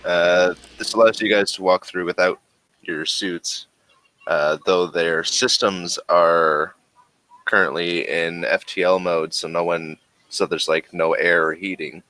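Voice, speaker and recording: A man runs a tabletop game at an unhurried pace. His voice sits low and has a bright, forward edge. He talks in a loose, halting way, full of fillers and restarts. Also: dry recording, poor audio. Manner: relaxed, halting, lots of fillers. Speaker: game master.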